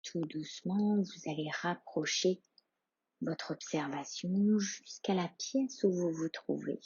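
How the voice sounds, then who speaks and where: A woman guides a meditation in a low, very breathy voice, hushed and soothing. She speaks very slowly, leaving room between phrases. The recording is slightly muffled. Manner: hushed, calm, soothing, with long pauses. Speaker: yoga nidra instructor. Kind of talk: guided meditation, soft slow instruction.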